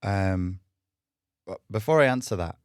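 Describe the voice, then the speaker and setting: A man's voice: low voice, animated, a little slow, clean tone, no echo, clean studio sound. A man talking as a podcast guest, blunt and provocative, laughing often as he argues. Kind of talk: casual debate chat.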